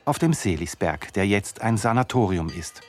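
A man's voice: lowish voice, expressive, normal speed, lightly acted. A man playing the sober narrator of a radio play, reading scripted narration. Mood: matter-of-fact. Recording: faint music behind the voice.

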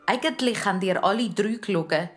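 A woman's voice: mid-range voice, fairly quick, faint music behind, natural voice. A woman voicing a frank young boy in a children's story, with a Swiss German accent.

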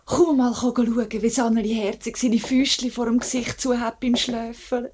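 An actress playing a tender, thrifty young wife and mother with a Swiss accent, soft and conciliatory, in a 1950s radio drama. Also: fairly high pitch, little pitch movement, moderate pace, a little hiss or hum, close to an everyday voice. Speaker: actor. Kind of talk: 1950s German-language radio drama acting.

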